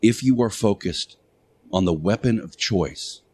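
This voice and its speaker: A man with a fairly low voice delivers a radio monologue in the manner of a preacher. He speaks deliberately in short, emphatic phrases, pausing between them and repeating key words for weight. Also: animated, darkish tone, husky. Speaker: radio show host playing Jesus in interactive radio theater.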